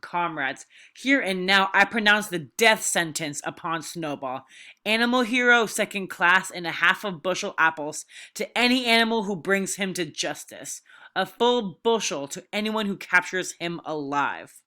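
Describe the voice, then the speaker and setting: A woman voicing a commanding, angry boar who makes loud, vengeful speeches to farm animals in a storybook read-aloud. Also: fairly low pitch, lively intonation, normal speed. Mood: furious, vengeful.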